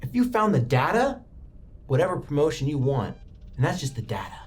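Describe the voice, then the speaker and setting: A man's voice: fairly high pitch, very animated, brisk. A man working as game master, narrating a tabletop roleplaying game in a dramatic, immersive way. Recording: music bed under the voice. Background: background music.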